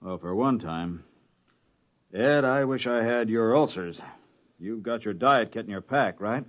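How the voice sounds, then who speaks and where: A man's voice: on the low side, expressive, measured pace. An actor playing an anxious, downbeat male crew scientist in 1950s radio drama style. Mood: dismayed. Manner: gloomy and worried. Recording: muffled 1950s radio broadcast.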